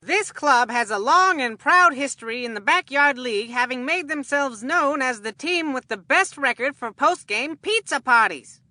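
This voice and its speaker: A woman voicing an excitable, food-loving boy baseball commentator in a cartoon game, with a folksy, earnest showman delivery. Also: high-pitched, no room sound.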